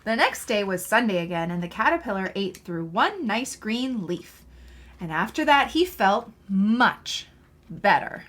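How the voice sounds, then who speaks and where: A woman reading a picture book aloud as a mother to her young child, expressive and pausing to prompt. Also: mid-range voice.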